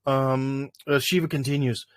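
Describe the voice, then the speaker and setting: A man's voice: mid-range voice, fairly even intonation, a little slow, no echo, clean studio sound. A man hosting a casual livestream, answering viewer questions in a rambling way with lots of fillers. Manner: rambling, filler-heavy, thinking aloud.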